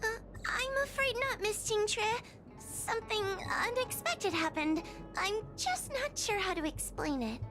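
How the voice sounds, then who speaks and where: Anxious little fox girl, very high, wavering and hesitant, game scene over music. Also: expressive, a little slow. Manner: hesitant, nervous. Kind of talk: video game cutscene acting.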